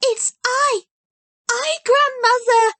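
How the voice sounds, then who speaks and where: A woman reading aloud, voicing an excited, warm-hearted little girl in a storybook. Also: sits high, a little slow, no room sound. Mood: overjoyed.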